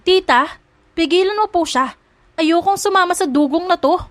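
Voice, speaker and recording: A woman's voice: extremely high pitch, moderate pace, dry recording, voice pushed up. A woman voicing a feisty young maid in a romance audio drama, scolding and emphatic, angry and hurt in love. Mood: flustered, protesting.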